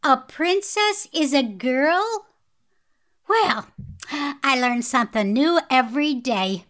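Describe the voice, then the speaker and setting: An actress playing a bubbly, scatterbrained, naive, tiny elderly fairy in a fairytale audio drama. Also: female voice, sits high, lively intonation, slow pace, a bit bright, full character voice. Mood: dim, cheerful. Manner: naive, easily sidetracked.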